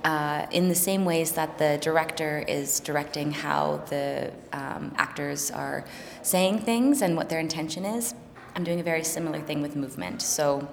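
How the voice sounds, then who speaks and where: A woman's voice: deep voice, vocal fry, long reverb tail. A woman, a choreographer, explaining her work in an interview with lots of hesitant fillers.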